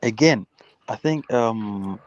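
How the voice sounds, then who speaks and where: A man with a fairly low voice making his case in a heated live football chat. He restarts and repeats words as he pushes his point. The sound is dry and slightly dull, like a remote call. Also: lively intonation, slow pace, a little mellow, no echo. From Uganda. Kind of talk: heated casual football debate.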